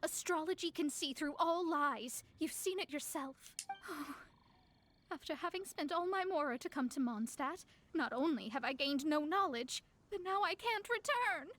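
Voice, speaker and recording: A woman's voice: high-pitched, expressive, a little slow, fairly bright tone. A woman voicing a proud, dramatic young astrologer in a video game, theatrical and easily exasperated. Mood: dismayed. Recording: game audio with music behind.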